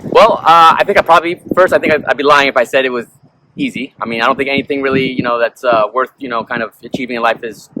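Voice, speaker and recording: A man's voice: mid-range voice, fast. Rambling man with a mid-range voice. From Peru. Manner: rambling, heavy on fillers like "you know". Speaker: musician guest. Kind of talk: casual interview chat. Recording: noisy background.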